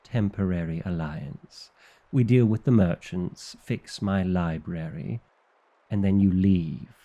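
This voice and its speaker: A man's voice: sits low, takes it slowly, warm tone, dull audio, full character voice. A man playing a fussy, exasperated vampire librarian in an ASMR roleplay, with an indignant, officious and dryly comic delivery. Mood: weary, grudging.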